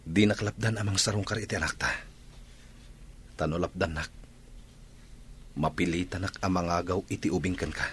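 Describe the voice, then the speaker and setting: A man's voice: low voice, steady tone, normal speed, long pauses, fairly bright tone, slight background noise. A man acting an earnest, determined young rancher and father in a village radio drama.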